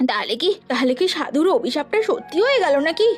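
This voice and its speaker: Woman as very shrill, dramatic village housewife. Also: big pitch swings, fast, soft background music. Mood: alarmed. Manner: exclaiming, wailing, beseeching. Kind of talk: melodramatic cartoon voice acting.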